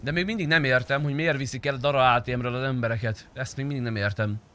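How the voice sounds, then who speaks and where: A man's voice: medium pitch, fairly even intonation, a bit bright. A young man chatting casually while livestreaming a game, blunt and slangy with frequent swearing. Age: young adult. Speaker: game streamer. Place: indoor streaming room.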